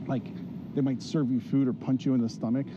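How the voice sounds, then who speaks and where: A man's voice: mid-range voice, steady tone, a little fast. A man vlogging while walking through a city street, thinking aloud in a casual, rambling way with plenty of fillers. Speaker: travel vlogger. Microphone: handheld camera while walking. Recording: dull lo-fi street recording with loud traffic. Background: loud traffic noise.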